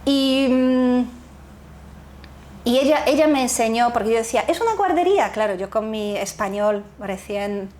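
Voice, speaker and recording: Animated woman telling family stories. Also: fairly high pitch, normal speed, slight background noise. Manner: animated, laughs often, restarts words. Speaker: guest, association founder. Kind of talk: casual interview storytelling.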